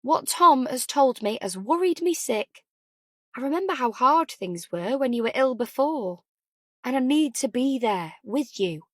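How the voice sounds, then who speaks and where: An affectionate older mother in an audiobook, acted by a woman, chatty and full of anxious fondness. Her voice sits high, and the sound is close and dry. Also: animated, a little slow, no room sound. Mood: worried. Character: cheery, doting, anxious older mother on the phone. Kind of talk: audiobook character dialogue.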